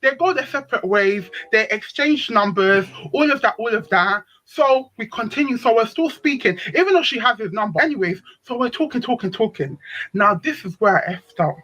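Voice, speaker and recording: A young woman with a deep voice, telling a story on a live panel stream in a slangy, dramatic way. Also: expressive, moderate pace, a bit bright, rough recording. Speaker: panel speaker.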